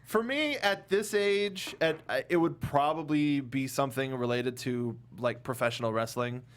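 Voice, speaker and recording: A man, fairly young, hosting a casual movie review panel in an animated, jokey way and often stumbling over his words. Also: medium pitch, normal speed. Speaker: talk show host.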